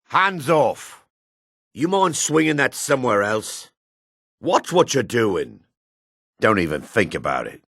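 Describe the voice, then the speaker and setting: Suspicious dark elf man, fantasy game character, gravelly, slow-paced, curt, sneering, middle-aged. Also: medium pitch, very animated, no room sound, clean studio sound, heavily acted. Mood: angry, accusing, then wary warning. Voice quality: gravelly, raspy. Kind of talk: video game character barks.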